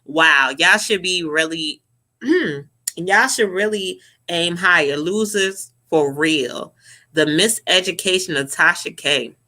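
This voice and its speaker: A young woman vlogger giving sassy, profane, animated reaction commentary to camera. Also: on the low side, takes it slowly.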